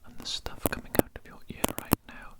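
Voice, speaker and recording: A man playing a condescending, menacing evil doctor in an ASMR roleplay, whispering in a pompous, repetitive, deliberate way close to the microphone. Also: natural voice. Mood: fondly gloating. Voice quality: whispered, unvoiced. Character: evil male doctor, condescending, menacing mad scientist kidnapper.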